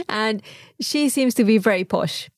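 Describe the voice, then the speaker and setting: A woman with a Mexican accent and Spanish as her first language, chatting casually as a podcast co-host and telling stories with quick interjections. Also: a little high, animated, no echo, clean studio sound. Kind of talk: casual chat.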